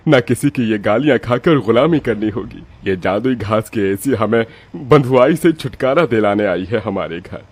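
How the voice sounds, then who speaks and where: A man's voice: lowish voice, lively intonation, moderate pace, hardly any pauses, slightly rough. A man playing a poor village father in a cartoon moral story, emotional and exclamatory. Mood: relieved, emotional.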